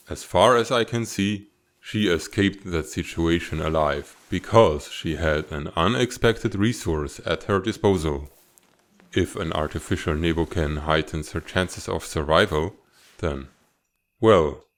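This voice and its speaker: A man acts out a serpent-dragon leader and father with a very deep voice. He speaks slowly, in formal, measured phrases. He is stern, guarded and used to command, in dramatic comic fandub style.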